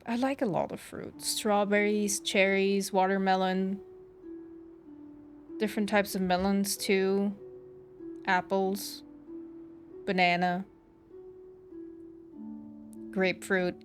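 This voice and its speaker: A woman's voice: mid-range voice, little pitch movement, takes it slowly, pauses often. A woman chatting casually as she streams a game, reacting to it and answering viewers in a chatty way. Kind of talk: casual live stream chat while playing. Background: soft game music.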